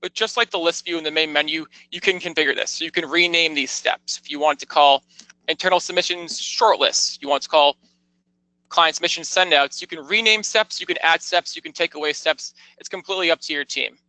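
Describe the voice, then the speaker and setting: Bright-voiced man giving a software walkthrough. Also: on the high side, fairly quick, no room sound, low-quality recording. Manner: explanatory, step-by-step, informal. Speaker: software product demonstrator. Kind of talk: conversational product tour narration.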